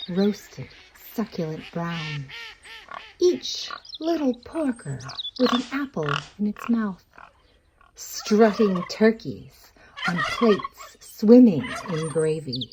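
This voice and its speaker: A woman narrating a storybook tale for a puppet show in a theatrical, expressive way, savoring the words. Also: mid-range voice, noisy background.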